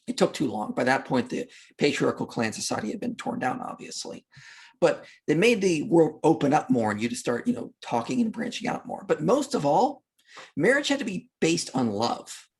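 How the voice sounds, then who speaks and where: A man's voice: a little high, very animated, moderate pace, vocal fry, slightly rough. A man speaking as a podcast guest, explaining history in an emphatic, conversational way and acting out imagined quotes. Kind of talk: animated explanatory discussion of history.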